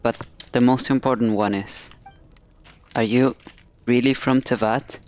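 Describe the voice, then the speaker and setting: A male game streamer with a smooth, clean voice at a middling pitch. He reads out on-screen game text and adds his own commentary on the story. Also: fairly even intonation, unhurried, a little mellow, lo-fi sound.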